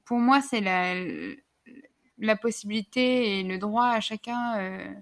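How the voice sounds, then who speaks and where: A woman in a casual podcast discussion, thoughtful and halting, often searching for her words. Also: on the high side. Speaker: podcast co-host.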